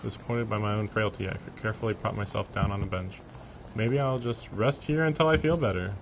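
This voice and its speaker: A man's voice: lowish voice, a little fast, background noise. Casual male streamer reading aloud. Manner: casual, amused asides. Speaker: livestreamer. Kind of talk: reading a visual novel aloud with casual commentary.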